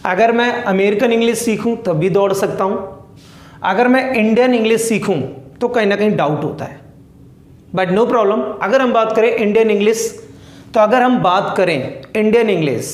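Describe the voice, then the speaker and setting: A man from India in his thirties with an Indian accent teaches a spoken English lesson. His voice sits very high, and it rings around an echoey classroom. He keeps asking the class questions and then answering them himself. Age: 30s.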